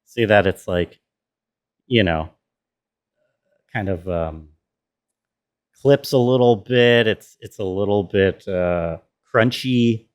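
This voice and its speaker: A man's voice: fairly low pitch, takes it slowly, long pauses, clear, resonant tone. A man giving an informal walkthrough as a sound designer, thinking aloud as he explains. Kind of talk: casual tutorial walkthrough.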